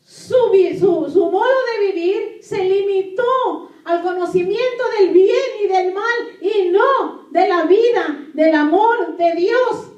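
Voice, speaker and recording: A woman pastor delivers an emphatic sermon in a very high voice. She repeats key phrases and questions her listeners as a native Spanish speaker. The sound is echoey, picked up some distance from the microphone. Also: slow pace, darkish tone, highs cut off. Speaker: pastor preaching to a congregation. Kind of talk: sermon with scripture reading.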